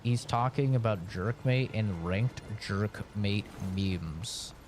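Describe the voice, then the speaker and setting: A man's voice: fairly low pitch, slow, darkish tone, a little hiss or hum. A man in his late twenties chatting casually while he streams a game, rambling frankly with lots of fillers. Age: late 20s. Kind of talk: casual chat while gaming.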